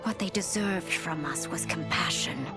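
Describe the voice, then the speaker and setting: An actress playing a proud, resolute empress who narrates formally in a measured, regal way for a video game. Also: female voice, on the low side, loud music behind the voice, natural voice. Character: proud, resolute, compassionate empress recounting her reign.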